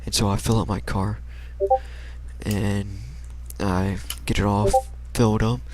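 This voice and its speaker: A man's voice: fairly low pitch, little pitch movement, a little slow, darkish tone, a little hiss or hum, low-quality recording. A young American man casually telling a personal story in a rambling, slangy, hesitant way. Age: young adult.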